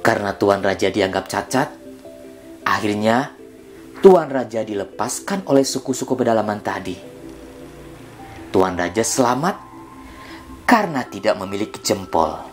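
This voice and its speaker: An animated male storyteller narrating for children, his voice swooping up and down with dramatic emphasis. He speaks slowly and deliberately, and faint music plays underneath. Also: fairly low pitch.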